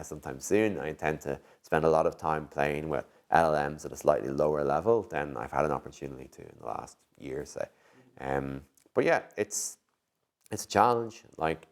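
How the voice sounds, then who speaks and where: A man giving conversational interview answers as a tech executive, thinking aloud in an animated way with lots of "you know" and "like". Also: extremely low pitch.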